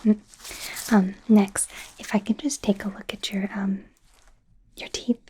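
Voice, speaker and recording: Slow, whispering werewolf doctor, acted by a woman. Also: medium pitch, little pitch movement, lightly acted. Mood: gently clinical. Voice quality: whispered, breathy. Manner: hesitant, halting, softly reassuring. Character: awkward, curious young female werewolf doctor, gentle and apologetic. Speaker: ASMR performer. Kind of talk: ASMR roleplay.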